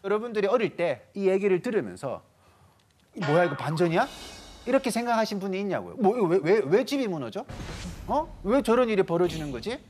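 A man in his thirties giving a lively lecture to a studio audience, acting out little quoted voices as he goes. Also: high-pitched, fairly quick, faint music behind. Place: large TV studio stage with audience.

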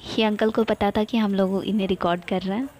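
A woman's voice: medium pitch, steady tone, fairly quick, a little mellow, a little hiss or hum. A young woman from India narrating a casual travel vlog, cheerful and chatty, pointing things out to her viewers. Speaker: vlogger.

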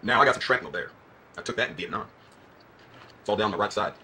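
A man plays a joking Southern gas station attendant, restless and folksy. He rattles along very fast in a bright, forceful, lowish voice with an American Southern drawl, over a faint background noise. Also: lively intonation. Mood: easygoing, evasive. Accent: put-on American Southern. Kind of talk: dramatic scene acting.

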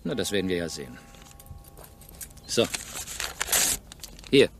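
Sardonic police inspector, very animated pitch. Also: male voice, slow, background noise, full character voice. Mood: sardonic, pressing. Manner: probing, sardonic, commanding. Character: shrewd, sardonic male police inspector in rural France. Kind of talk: German radio crime drama acting.

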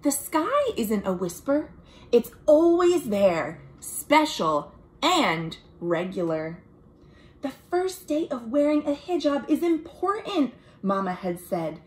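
A woman, reading a children's picture book aloud in an expressive, deliberate way as a storytime host. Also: fairly high pitch. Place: small room at home, indoors.

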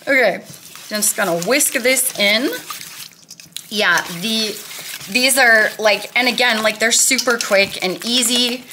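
A woman's voice: a little high, slow, noisy background. A woman in her thirties casually walking through a recipe in her home kitchen, chatty and upbeat with lots of "like". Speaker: weight-loss cooking vlogger.

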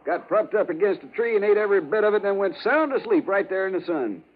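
A chatty folksy buffalo hunter, american, highish voice, tinny old radio western w some noise. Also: male voice, expressive, fast talker. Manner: rustic frontier speech. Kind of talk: 1950s radio western acting. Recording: tinny, noisy 1950s radio recording.

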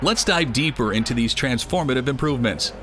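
A man giving scripted documentary narration in an even, measured delivery. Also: mid-range voice. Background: music.

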